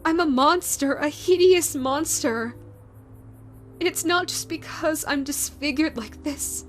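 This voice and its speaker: A woman voicing a scarred, grieving female yokai ghost who hates herself, talking to the listener in a halting, stammering way. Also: high-pitched. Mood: self-loathing. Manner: halting, stammering, repeats words. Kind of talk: audio roleplay to the listener.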